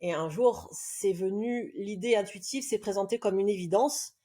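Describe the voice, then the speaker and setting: Warm-toned woman in her forties, podcast guest, reflective and earnest, halting storyteller. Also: medium pitch. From southern France. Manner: reflective, earnest, many hesitations. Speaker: love coach guest. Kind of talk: podcast interview, personal storytelling.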